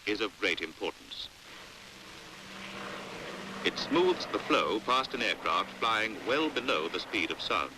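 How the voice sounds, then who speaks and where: A British man narrating a 1950s educational film in a measured, precise, didactic way, on an old hissy soundtrack. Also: on the low side, sing-song. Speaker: documentary narrator. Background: faint hiss.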